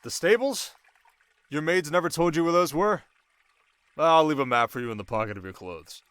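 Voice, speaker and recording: A man playing a young, sharp desert king in an intimate ASMR roleplay, teasing his new wife with measured, quietly commanding speech. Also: on the high side, expressive, clean tone, dry recording. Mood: playful, brisk.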